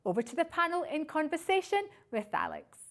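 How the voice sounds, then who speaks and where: A woman's voice: high-pitched, wildly swooping pitch, a little fast. A woman presenter reading a scripted introduction for a political programme.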